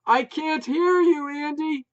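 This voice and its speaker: Frantic wife, shrill and loud, high voice, muffled lo-fi sound, voiced by a reader. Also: takes it slowly. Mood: shrill shouting. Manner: shrill, shouting. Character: loud, emotional wife shouting over barking dogs.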